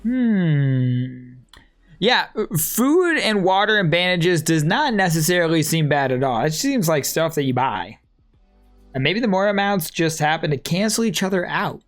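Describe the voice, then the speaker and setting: An excitable game streamer chats casually and slangily in a mid-pitched voice. The intonation is animated, and faint game music runs underneath. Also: measured pace. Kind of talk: casual live reaction commentary, reading aloud.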